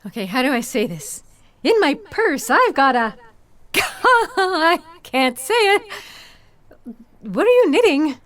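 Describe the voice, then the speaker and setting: Woman acting a high-voiced, nervous young scientist. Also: big pitch swings, takes it slowly, long pauses, a bit bright, exaggerated voice. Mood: nervous, eager. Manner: hesitant, self-conscious. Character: nervous, self-doubting young female dinosaur scientist. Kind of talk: sci-fi audio theatre acting.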